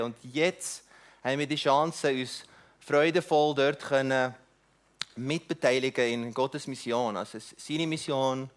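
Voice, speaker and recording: A middle-aged man whose first language is not German gives an earnest church talk about mission, halting often with restarts and fillers. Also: mid-range voice. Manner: earnest, inviting. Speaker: mission speaker.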